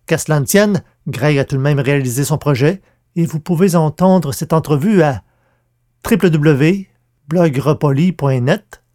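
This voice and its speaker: Male podcast host, higher-pitched voice, scripted announcement read, careful enunciation. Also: unhurried, a little mellow. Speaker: podcast host and audio drama producer.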